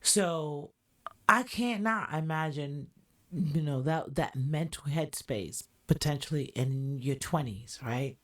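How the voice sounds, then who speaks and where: A woman with a deep, low voice hosts a podcast and chats through a reaction, qualifying her opinions as she goes. her delivery is relaxed and conversational, with plenty of asides. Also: slow pace. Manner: chatty, hedging with "allegedly" and "in my opinion". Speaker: podcast host. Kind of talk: casual reaction commentary.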